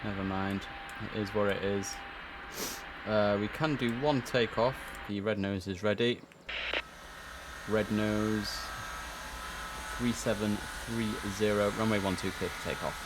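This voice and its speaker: A man's voice: fairly low pitch, steady tone, unhurried. A man livestreaming a game, mixing casual muttered chat with clipped air traffic control phraseology. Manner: casual, muttering to himself between clipped instructions. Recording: clear background noise under the voice.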